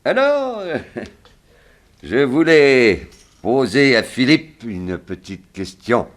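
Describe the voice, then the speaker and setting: A man's voice: medium pitch, big pitch swings, drawn-out words. A man playing a matter-of-fact, authoritative small-town sheriff in a 1960s French radio drama. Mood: probing.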